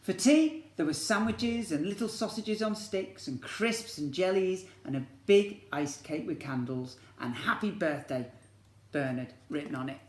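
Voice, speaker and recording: A man's voice: high voice, lively intonation, normal speed, few pauses, darkish tone. A man reading a storybook aloud to young children like a teacher, expressively and with questions to the listeners. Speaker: infant school teacher reading to pupils. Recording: echoey room.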